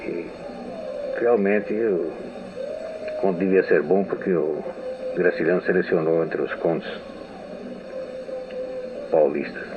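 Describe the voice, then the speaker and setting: Deep-voiced older Brazilian man reminiscing. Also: steady tone, slow pace, long pauses, background noise. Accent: Brazilian Portuguese. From São Paulo state, Brazil. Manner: meandering, reminiscing storyteller who often restarts sentences. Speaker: interviewed writer. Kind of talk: archival radio testimony. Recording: narrow, phone-like old radio archive.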